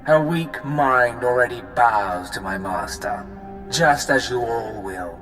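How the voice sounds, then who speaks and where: A man voicing a menacing, contemptuous servant of a dark master in a video game, giving commands. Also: medium pitch, expressive, slow, faint music behind.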